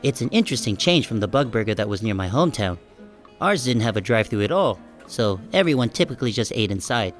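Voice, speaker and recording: A man playing a short, self-conscious sheep who narrates an audiobook in a wry, deadpan, casual first-person style. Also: lowish voice, quick pace, faint music behind. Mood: wry, musing.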